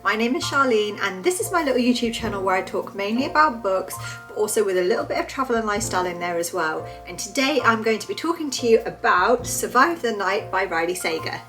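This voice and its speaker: A woman's voice: medium pitch, expressive, fast, a bit bright, soft background music. A young woman talks casually into her camera at home, reviewing a book. Her voice is slightly stuffy and nasal from hay fever. She chats eagerly and fills her sentences with fillers. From United Kingdom. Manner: chatty, enthusiastic, lots of fillers. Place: bright room at home.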